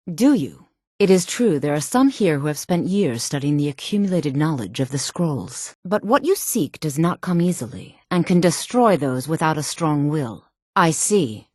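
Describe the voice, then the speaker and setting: An actress playing a proud, composed female senior wizard in a fantasy video game, with a sultry voice and formal, measured, lofty delivery. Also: low-pitched, darkish tone, dry recording, clean studio sound.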